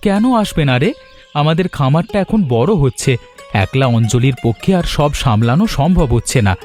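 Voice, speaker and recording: A man's voice: mid-range voice, expressive, fairly quick, darkish tone, faint music behind, close to an everyday voice. A man voicing a supportive, brotherly young village man in a cartoon drama. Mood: reassuring. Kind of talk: cartoon voice acting.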